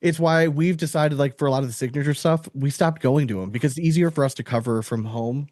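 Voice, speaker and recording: A man's voice: medium pitch, fast talker, a little mellow, dry recording. Man hosting a casual podcast, dry sound. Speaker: podcast host. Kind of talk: casual interview chat.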